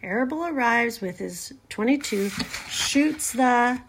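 A woman's voice: fairly high pitch, expressive, takes it slowly, noisy background. A woman voicing a gloomy, world-weary old female sheep in a storybook, blunt and knowing. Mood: grimly knowing. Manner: matter-of-fact, snappish, knowing.

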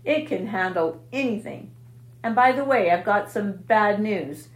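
A woman voicing a gluttonous, sneering male rat with a husky, snarling voice, recorded in an echoey room. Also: mid-range voice, expressive, natural voice. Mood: taunting. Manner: drawn-out, gloating, snarling.

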